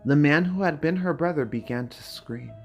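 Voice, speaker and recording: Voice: medium pitch, normal speed. A video creator with a mid-range voice, narrating fantasy drama and chatting casually to viewers. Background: background music.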